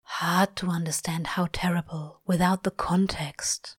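A woman voicing a gruff, tender, cynical male demon in a slow, hushed murmur, as in an audiobook. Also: fairly low pitch, no echo, clean studio sound. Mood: soothing. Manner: slow, muttering.

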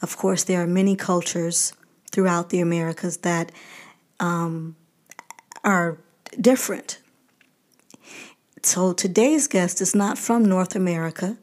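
A woman's voice: on the low side, darkish tone. A woman hosting a podcast, introducing her guest and asking interview questions.

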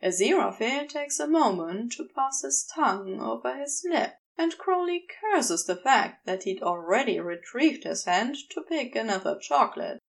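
A woman reading a piece of fiction in a measured, deliberate, intimate storytelling style. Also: fairly high pitch, creaky. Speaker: amateur audiobook narrator.

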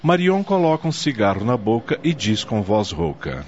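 A man's voice: lowish voice, sing-song, moderate pace, darkish tone. A man delivering scripted, dramatic narration for a radio soap opera. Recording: dull noisy audio with ambience.

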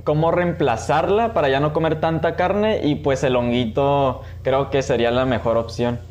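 A man's voice: mid-range voice, normal speed, darkish tone. A man with a Mexican accent hosting a podcast in a casual, slangy, chatty way. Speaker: podcast host. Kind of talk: casual chat.

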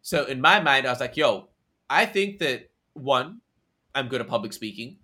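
A man's voice: mid-range voice, animated, normal speed, bright, forceful tone. A young man from Australia chatting energetically as a podcast guest, full of slang and tangents. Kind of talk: casual conversation.

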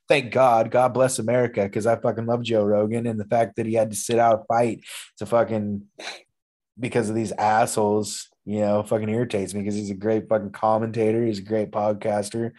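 A man's voice: lowish voice, flat delivery, fairly quick, darkish tone. A man in his thirties hosting a casual wrestling podcast, ranting in an opinionated, profane way. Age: 30s. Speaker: podcast host.

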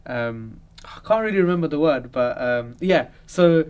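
A high-voiced man hosts a loose, casual conversation. He talks in animated bursts and laughs often while telling stories. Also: moderate pace, clear, resonant tone. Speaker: podcast host. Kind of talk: casual chat.

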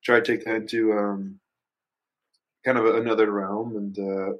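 A man's voice: lowish voice, little pitch movement, unhurried, highs cut off. A man in his early twenties chatting casually and reflectively as a musician guest, rambling thoughtfully with youthful slang. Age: early 20s. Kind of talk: casual reflective interview chat.

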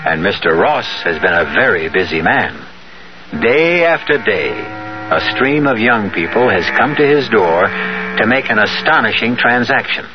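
A man narrating a scripted radio drama as host, with measured, dramatic storytelling. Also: fairly low pitch, expressive, background noise. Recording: dull vintage radio broadcast. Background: dramatic music.

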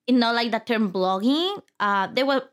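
A woman in her thirties with a Mexican Spanish accent talks easily as a guest, chatty and quick to giggle. Her voice sits a little high. Her English bends into loose phrasing now and then. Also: expressive, clean tone. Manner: chatty, giggly, loose non-native grammar. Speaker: podcast guest, lifestyle blogger. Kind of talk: casual interview chat.

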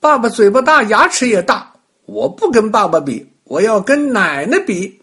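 A man voicing a boastful, excitable little boy in a children's story, exclaiming insistently. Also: high voice, moderate pace, breathy edge, voice pushed up, exaggerated voice.

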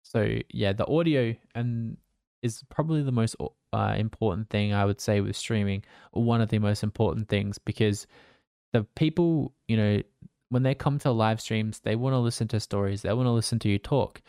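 A man, a streamer, giving casual advice in a relaxed, rambling way with fillers and restarts, talking into a condenser desk mic. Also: lowish voice, normal speed, vocal fry, smooth, no echo, clean studio sound. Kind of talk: casual advice talk to camera. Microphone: condenser desk mic with pop filter.

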